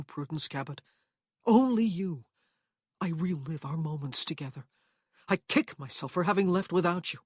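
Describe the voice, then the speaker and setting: A woman voicing an earnest, lovesick young gentleman who speaks with emphasis. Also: sits low, breathy edge, no room sound, studio quality. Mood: ardent.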